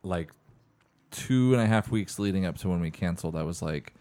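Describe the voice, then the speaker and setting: A man co-hosts a podcast, speaking in a fairly low voice. He chats in an easygoing, matter-of-fact way. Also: fairly quick, darkish tone. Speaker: podcast co-host. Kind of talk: casual chat.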